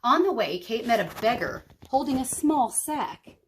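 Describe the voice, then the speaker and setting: Lady doing story time for kids, highish voice, very animated and dramatic. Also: measured pace, slight background noise. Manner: dramatic, explains words to children. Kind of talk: expressive children's storybook narration.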